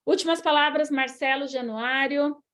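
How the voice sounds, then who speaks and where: A woman's voice: high-pitched, fairly even intonation, normal speed. A woman with a Brazilian Portuguese accent hosting an online church health class, talking in an encouraging, preacherly way. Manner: warm encouraging preacherly, addresses listeners as queridos. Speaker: host.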